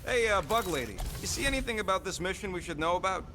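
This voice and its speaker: A man's voice: sits high, very animated, quick pace, background noise. A man voicing a small, irritable raccoon tinkerer in a video game, with a snappy, sarcastic manner. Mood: brisk.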